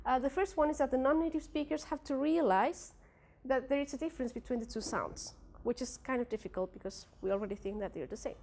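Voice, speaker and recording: A young woman whose first language is Spanish presents her research at an academic workshop. Her voice sits a little high, and she speaks English with a Spanish accent. She hesitates often, filling gaps with "uh" and "like" and restarting her sentences. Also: quick pace, few pauses, darkish tone. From Spanish-speaking country. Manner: hesitant, many fillers and restarts.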